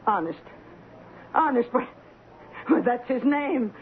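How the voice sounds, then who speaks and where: A woman's voice: fairly high pitch, expressive, slow pace. An actress playing a nervous, evasive landlady who stammers and protests, in a radio drama. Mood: flustered, pleading. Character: frightened, flustered landlady, evasive witness to a murder. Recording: tinny, noisy old radio broadcast.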